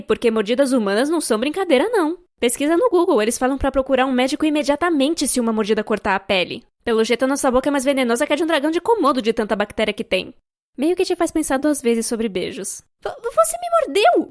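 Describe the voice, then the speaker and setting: A Brazilian woman narrating a scripted animated storytime fan dub in an expressive, theatrical, sarcastic manner. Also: fairly high pitch.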